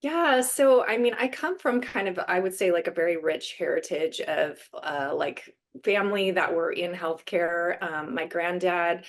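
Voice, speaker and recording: A woman's voice: lowish voice, measured pace, few pauses. A woman in her fifties from Eastern Washington, telling her story as a guest in a casual, chatty way with lots of fillers and laughs. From Eastern Washington, USA. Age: 50s. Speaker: guest, dentist.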